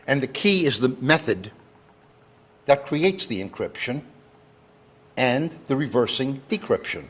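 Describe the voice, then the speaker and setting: A man with a husky, slightly rough voice gives an explanatory lecture. He speaks at a middle pitch, laying out definitions deliberately and one step at a time, like an engineer teaching a general audience. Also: lively intonation. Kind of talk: educational public lecture, explanatory. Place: talk given live to an audience.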